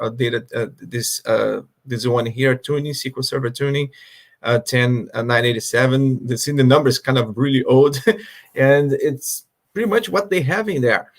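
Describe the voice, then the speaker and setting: Man presenting slides on a call. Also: medium pitch. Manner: hesitant, filler-heavy explaining. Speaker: guest speaker. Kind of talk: online conference presentation.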